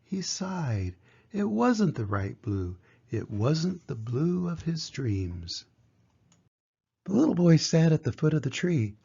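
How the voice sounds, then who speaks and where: An older man narrates a children's picture book with gentle, measured delivery. His voice is soft-edged and warm in tone, slightly husky, on a dull lo-fi recording. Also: on the high side, big pitch swings. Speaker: grandfather reading a picture book aloud. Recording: dull, muffled lo-fi sound.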